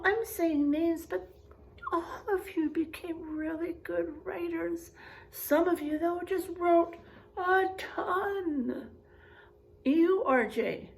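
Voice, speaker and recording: A woman teacher reading aloud and chatting to young children in an expressive, encouraging, teacherly way. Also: very high-pitched, vocal fry. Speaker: first grade teacher. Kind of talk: picture book read-aloud and chat to young pupils.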